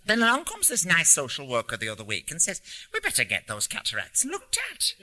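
A man's voice: a little high, huge pitch swings, a little fast, bright, forceful tone, pitched above the performer's own voice, full character voice. A man voicing a little old English lady from the North Country, chatty and cheerful, as he reads a story aloud. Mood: cheerfully delighted. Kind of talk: acted dialogue in a story read aloud.

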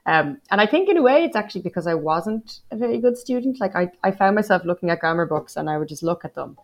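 A woman with a Dublin accent speaks in a fairly low voice. She is in her thirties, a poet giving a casual interview, and she talks about herself reflectively and with some self-deprecation, often stopping to search for words. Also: expressive, fast. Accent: Dublin Irish. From Ireland. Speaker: guest poet.

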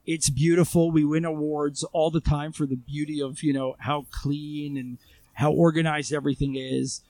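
An enthusiastic American man in his thirties talks as a podcast guest, and his voice sits a little high for a man. He speaks casually and quickly, leaning on "you know" all the time. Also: flat delivery, warm tone, no echo. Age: 30s. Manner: chatty, enthusiastic, heavy use of "you know". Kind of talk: casual interview chat. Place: outdoors in a residential neighborhood.

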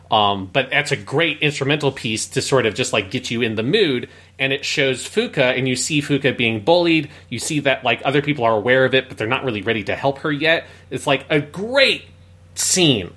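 A man's voice: medium pitch, bright, forceful tone. Man analyzing in casual podcast talk. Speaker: podcast co-host. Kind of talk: casual review discussion.

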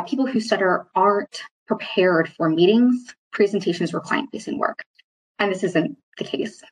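A woman's voice: lowish voice, breathy edge, low-quality recording. A woman speaking as an interview guest, thoughtful and hesitant, with a mild, mostly hidden stutter that shows in occasional repeated starts. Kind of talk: reflective workplace interview.

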